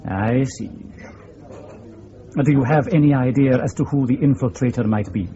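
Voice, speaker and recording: An actor playing a blunt, wry, middle-aged Scottish intelligence section leader in a radio drama, speaking in a dry, pointed way. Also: male voice, medium pitch, normal speed, dark tone, lo-fi sound, lightly acted. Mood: dry, guarded.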